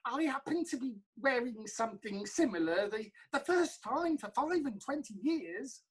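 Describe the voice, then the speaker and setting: A woman playing a prim, deferential older male court clerk, husky and hesitant, in a staged reading of a farce. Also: on the high side, lively intonation, moderate pace. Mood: apologetic. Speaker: actor.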